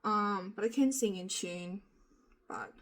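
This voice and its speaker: Husky, nasal-voiced woman chatting frankly. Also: mid-range voice, slow pace. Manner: rambling, frank, self-deprecating, swears casually. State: hoarse from being sick. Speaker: vlogger. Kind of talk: casual chatty vlog. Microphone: handheld phone camera.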